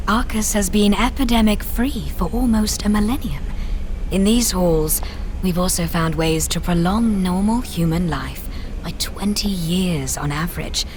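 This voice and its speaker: A woman's voice: on the low side, slight background noise, natural voice. A woman playing a serene young nurse in a religious order in an audio drama, giving a polished, informative tour. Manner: polished, measured, informative tour-guide delivery. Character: serene young nun-nurse guiding visitors through laboratory. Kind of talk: scripted audio drama dialogue. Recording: music behind the voice.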